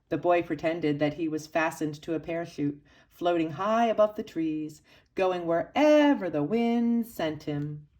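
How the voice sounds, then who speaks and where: Woman, slightly low voice, children's storybook read-aloud, expressive phrasing, dramatic pauses. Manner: dramatic emphasis and pauses for young listeners. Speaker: storybook reader for children's church.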